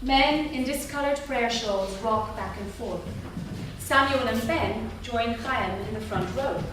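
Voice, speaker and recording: A woman's voice: a little high, unhurried. A woman narrating stage directions at a live staged script reading. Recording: echoey theatre, far mic, noisy.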